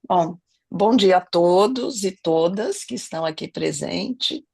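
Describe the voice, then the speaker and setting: A middle-aged Brazilian woman with a hoarse, gravelly voice that sits a little low. She talks earnestly on a video call as a family lawyer and mediator, circling back, restarting phrases and checking in with tag questions. The sound is dry and close. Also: lively intonation, slow pace, darkish tone, no echo. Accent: Brazilian Portuguese. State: hoarse, has a cold. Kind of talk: online webinar talk.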